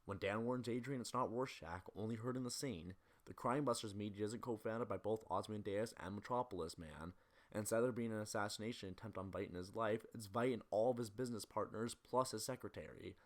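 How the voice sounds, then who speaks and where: A man's voice: lowish voice, a little fast. A man narrating a scripted analytical video essay as the presenter.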